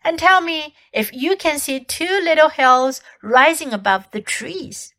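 Woman reading as a scheming witch queen, smooth and wheedling, high bright voice, slow and deliberate, storybook. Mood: coaxing. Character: cold scheming queen witch, sly and coaxing. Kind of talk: audiobook character voice.

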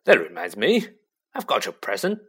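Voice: mid-range voice, wildly swooping pitch, moderate pace, slightly rough, heavily acted. A storybook reader voicing a huge, emotional, tender-hearted man with a blustery, blunt delivery. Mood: gruff, kindly.

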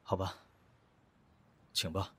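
A man playing a grave, protective character in a fantasy audio drama, with a husky voice and subdued, persuasive manner. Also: slow, background noise, natural voice. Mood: grave, pleading.

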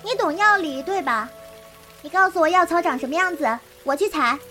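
A woman's voice: very high-pitched, animated, fairly quick, bright, forceful tone, faint music behind, exaggerated voice. An actress playing a blunt, stubborn, protective young wild girl in a period wuxia audio drama, speaking in a curt, bossy way. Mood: determined. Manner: curt, brusque, bossy but caring.

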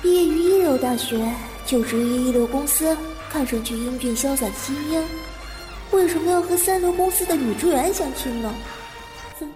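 A woman's voice: high voice, animated, normal speed. A woman acting a haunted, anxious young woman who narrates her childhood trauma in a radio drama. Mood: polite, reserved. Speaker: voice actor and narrator. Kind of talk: first-person radio drama narration and dialogue. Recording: reverberant echo with music underneath. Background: music.

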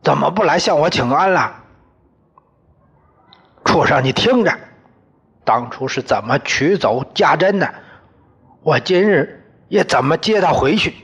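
A man's voice: fairly high pitch, animated, a little slow, pauses often, husky, voice pushed up, heavily acted. A man doing a furious old father-in-law. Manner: scolding, shouting orders. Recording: muffled lo-fi recording.

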